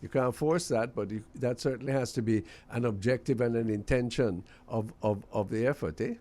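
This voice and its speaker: A man's voice: medium pitch, normal speed, a little mellow. A man from Jamaica hosting a radio talk show, asking questions emphatically and stumbling over his words.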